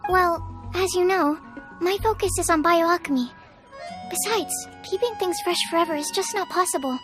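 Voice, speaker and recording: A woman's voice: extremely high pitch, moderate pace, over music. A woman voicing a timid, earnest young female alchemist in an anime-style game, hesitant and apologetic. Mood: calm, explanatory. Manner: hesitant, softly explanatory, apologetic.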